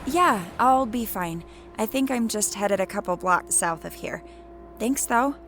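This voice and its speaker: A woman's voice: mid-range voice, expressive, unhurried, a bit bright. Nervy sarcastic college girl in an audio drama, casual, kinda crackly voice, super up and down. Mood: awkward, polite. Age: young adult. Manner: casual, hesitant, muttering to herself. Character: Noelle, skittish, sardonic young woman college student. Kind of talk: naturalistic audio drama acting.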